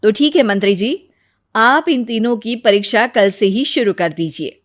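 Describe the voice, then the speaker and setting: Woman playing a composed, slow-speaking regal queen. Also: a little high, no room sound, studio quality, lightly acted. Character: composed, gracious queen testing young petitioners.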